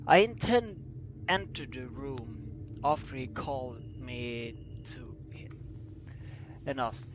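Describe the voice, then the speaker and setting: A man reading a scripted story aloud as an amateur narrator, halting and stumbling over his words. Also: mid-range voice, music in the background. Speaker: amateur fan fiction reader.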